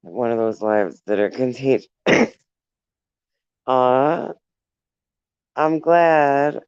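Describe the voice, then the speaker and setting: A woman chats slowly and casually on her live stream, reading out viewer comments and breaking into giggles between remarks. Her voice is smooth and clear, but close and dry with no room sound. The stream audio is slightly dull and lo-fi. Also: rumbling low, expressive, darkish tone, dry recording. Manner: affectionate toward viewers.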